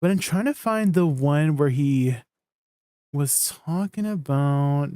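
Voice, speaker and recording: A man with a Canadian accent chatting casually with viewers while streaming a game. He rambles in a relaxed way and trails off at the ends of his lines. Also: fairly high pitch, slow, no room sound, clean studio sound.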